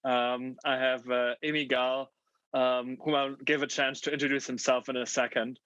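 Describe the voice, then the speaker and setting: A man in his thirties moderating a fireside chat over a video call from a home room, friendly and halting, with many fillers and restarts. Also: medium pitch, flat delivery, a bit bright, clear, resonant tone, no echo. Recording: dull, dry video call audio.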